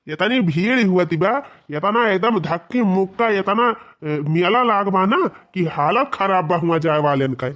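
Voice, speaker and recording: Cartoon husband, played by a man: high-pitched, Awadhi accent, stubborn village grumbler, dismissive and teasing. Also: fairly bright tone. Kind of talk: comedy cartoon dialogue in village dialect.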